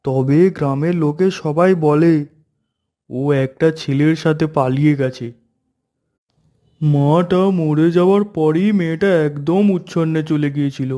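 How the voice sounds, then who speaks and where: A blunt village friend who scoffs at ghost talk, voiced by a man. His voice sits high yet sounds dark and mellow. He talks in a short, dismissive way. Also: steady tone, unhurried, close to an everyday voice. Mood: scoffing. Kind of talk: cartoon voice acting.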